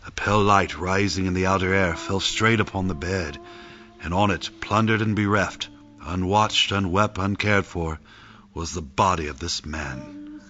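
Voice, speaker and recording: An actor as the grave narrator of a Victorian tale, giving scripted dramatic narration in a husky voice, in slightly dull audio. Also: male voice, deep voice, a little slow, pushed and bright.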